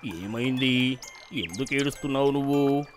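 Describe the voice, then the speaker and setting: A man voicing a kindly, wise magical tree in an animated story, calm and reassuring. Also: normal speed, a little hiss or hum, close to an everyday voice. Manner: calm, reassuring, booming laugh. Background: music.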